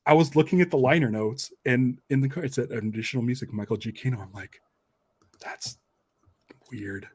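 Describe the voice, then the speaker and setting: A man's voice: mid-range voice, moderate pace, slightly rough, no echo. A man hosting a casual podcast, talking in a rambling way and often repeating and restarting his phrases. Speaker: podcast host and documentary maker.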